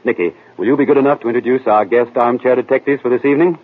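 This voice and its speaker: An American actor playing a confident, urbane young detective in a 1940s radio drama, brisk and self-assured. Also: male voice, mid-range voice, steady tone, narrow, tinny audio, close to an everyday voice. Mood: genial hosting. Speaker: detective drama lead and quiz host. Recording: noisy, band-limited 1940s radio recording.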